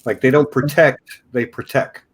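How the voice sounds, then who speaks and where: A chatty man from Texas with a Texan accent, hosting a relaxed show discussion. His voice sits at a middle pitch, and he talks loosely, restarting sentences as he goes. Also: normal speed, husky. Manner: chatty, self-correcting. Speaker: podcast host. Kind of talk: casual discussion.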